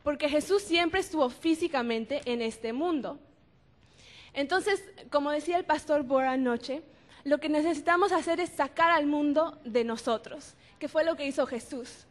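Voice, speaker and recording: A young woman from Mexico with a high voice answers a panel question. She explains a scripture passage earnestly and pauses to think as she goes.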